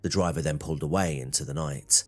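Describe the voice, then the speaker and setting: A man's voice: extremely low pitch, steady tone, fast talker, faint music behind. A man reading scripted true crime documentary narration.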